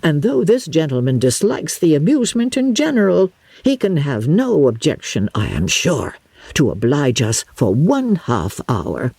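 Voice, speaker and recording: A woman playing a genial, talkative older gentleman with an effusive, flowery, gallant manner in an audiobook. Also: sits low, wildly swooping pitch, a little slow, slightly rough, no room sound, full character voice. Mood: gallant, coaxing.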